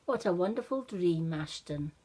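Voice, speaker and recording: A woman voicing a gentle mother in a children's story, tender and reassuring. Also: on the low side, animated, moderate pace. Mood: soothing, doubting.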